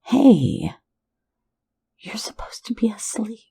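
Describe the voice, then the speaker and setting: A woman voicing a teasing, flirtatious phantom thief in an ASMR roleplay, in a soft, intimate whisper right at the mic. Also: fairly high pitch, sing-song, slow, gravelly, husky, exaggerated voice. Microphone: close mic for ASMR.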